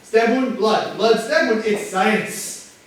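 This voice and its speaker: Distant echoey recording, high-pitched man acting a stubborn sleuth, defensive, halting, emphatic stage comedy delivery. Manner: hesitant, defensive, emphatic repetition. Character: stubborn, defensive male amateur sleuth arguing his theory. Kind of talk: comedy play staged reading. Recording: very echoey room, distant mic, faint noise.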